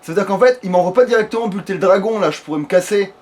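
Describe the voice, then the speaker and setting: A man's voice: sits high, racing. A man giving casual, rambling let's play commentary peppered with swearing. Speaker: let's player.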